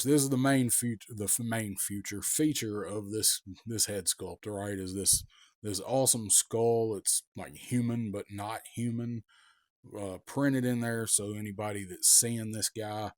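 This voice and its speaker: A man with a cold, raspy, giving a casual, rambling toy review with many ums and restarts. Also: fairly low pitch, darkish tone, no room sound, studio quality.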